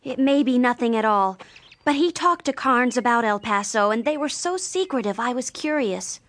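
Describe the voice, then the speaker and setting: A woman's voice: on the high side, lively intonation, normal speed, fairly bright tone. An actress playing an earnest, worried young ranch woman, a loyal and insistent daughter, in a Western audio drama.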